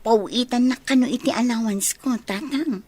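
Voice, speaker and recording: A woman's voice: on the high side, normal speed, darkish tone, no room sound, lightly acted. A woman playing a hesitant, money-minded young village daughter in an Ilocano comedy radio drama. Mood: explaining.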